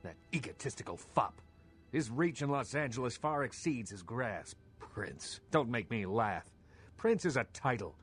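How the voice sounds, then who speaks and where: An actor playing a proud, scornful vampire baron in a video game, speaking formally with a sardonic, imperious manner. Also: male voice, mid-range voice, lightly acted. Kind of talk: dramatic video game acting.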